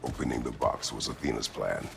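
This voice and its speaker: A man's voice: fairly quick, exaggerated voice. A man playing a stern, brooding middle-aged warrior god in a video game, terse and grave, weighed down by guilt. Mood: grave, remorseful. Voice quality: deep gravelly rumble. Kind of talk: cinematic video game acting. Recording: music behind.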